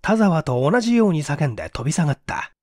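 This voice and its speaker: A man's voice: a little high, sing-song, fast, darkish tone, no echo, studio quality. A man reading a mystery story as dramatic, suspenseful, theatrical audiobook narration. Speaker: audiobook reader.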